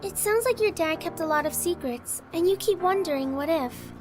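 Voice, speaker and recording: A woman voicing a gentle, caring young woman in a visual novel game, with a sincere, consoling manner. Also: very high voice, expressive, moderate pace. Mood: tender, earnest.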